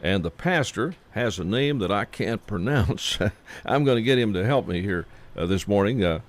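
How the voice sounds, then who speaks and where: A man hosting a casual radio interview, folksy and halting, with many fillers. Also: fairly low pitch, lively intonation. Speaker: radio host.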